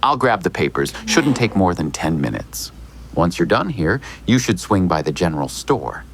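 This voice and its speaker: Audio drama lawyer: smoothly evasive, insinuating politeness, low gravelly voice that swings dramatically. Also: male voice, very animated, background noise. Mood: cryptic, insinuating. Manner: measured, theatrical, hinting.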